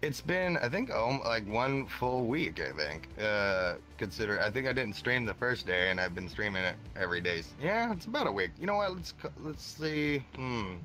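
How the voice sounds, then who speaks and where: A man in his twenties, a streamer guest in a casual podcast chat, talking in an animated way and swearing now and then. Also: moderate pace, hardly any pauses, soft background music. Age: 20s. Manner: animated, swearing, jumps into high mock voices.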